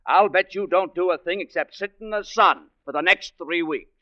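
An actor playing a domineering, needling American company boss, scornful and badgering, in 1940s radio drama. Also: male voice, high-pitched, normal speed, over loud music, close to an everyday voice. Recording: dull vintage radio recording.

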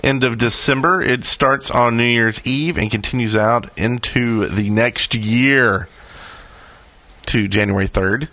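A man's voice: mid-range voice, bright, forceful tone, no echo. A man hosting a gaming podcast in a casual, chatty style. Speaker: podcast host.

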